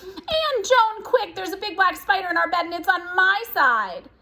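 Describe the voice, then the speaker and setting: Lady doing a squealy whiny storybook mom, childish and quarrelsome, high bright voice. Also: lively intonation, normal speed, heavily acted. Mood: squealing panic.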